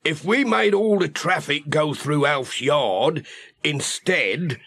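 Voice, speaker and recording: A man's voice: fairly high pitch, vocal fry, fairly bright tone, breathy edge, pitched above the performer's own voice, heavily acted. A man voicing a bumbling, self-important village policeman in a children's audiobook, with an officious manner. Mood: muddled, chatty. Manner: slow, officious, putting on a special policeman's voice. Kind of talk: children's audiobook character reading.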